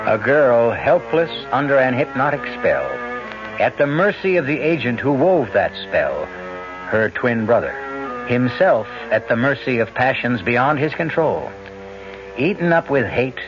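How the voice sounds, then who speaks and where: Dramatic, swooping male suspense narrator. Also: medium pitch. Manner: dramatic, ominous, deliberate phrasing. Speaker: radio drama host and narrator. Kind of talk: 1970s radio suspense narration. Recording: muffled vintage radio, music underneath.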